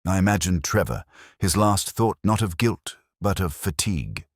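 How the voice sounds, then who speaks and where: A man acts a brooding older English police inspector with a strikingly deep voice that rises and falls expressively. He narrates a detective audio drama in solemn, measured, literary phrases. The sound is dry and intimate, with a melancholy, reflective temper. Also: big pitch swings, dry recording, full character voice. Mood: weary, resigned.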